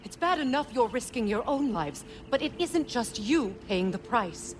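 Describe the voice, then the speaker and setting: A woman's voice: fairly high pitch, sing-song, normal speed, noisy background. A woman playing a stern but caring leader in a video game, protective and worried, with a firm, earnest manner. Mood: stern, reproachful. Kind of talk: dramatic video game acting.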